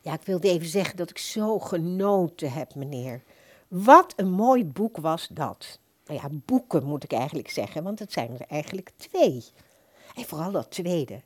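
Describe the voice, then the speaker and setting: Actress as chatty older woman, lively and hesitant. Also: fairly low pitch, normal speed. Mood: gushing. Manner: polite, hesitant, rambling. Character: older, thrifty, puzzled pensioner woman, chatty bookshop customer. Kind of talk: radio play dialogue.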